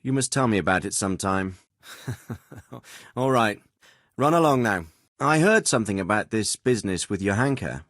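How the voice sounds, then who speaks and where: An actor playing a middle-aged noble lord and commander in a video game, with a measured, formal and paternal manner. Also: male voice, fairly low pitch, animated, fairly bright tone, no room sound, clean studio sound. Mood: matter-of-fact. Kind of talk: acted video game dialogue.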